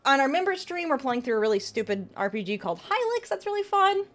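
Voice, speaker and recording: High, lively woman streamer chatting. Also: quick pace. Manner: chatty, answers chat aloud, laughs often, trails off and restarts. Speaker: VTuber streamer. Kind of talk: casual live-stream chat with tarot readings.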